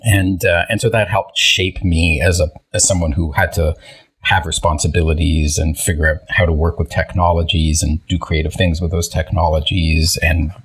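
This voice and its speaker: Very deep, husky-voiced man in his fifties. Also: level tone, normal speed, a bit bright. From Israel. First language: Hebrew. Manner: hesitant, many restarts and fillers. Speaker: podcast guest. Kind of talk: casual interview storytelling.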